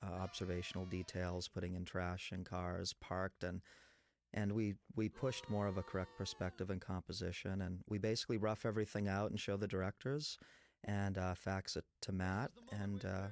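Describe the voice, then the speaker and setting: A man from New England with an American accent, speaking as a background designer in a casual audio commentary, explaining things in detail. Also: low-pitched. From Massachusetts, New England, USA.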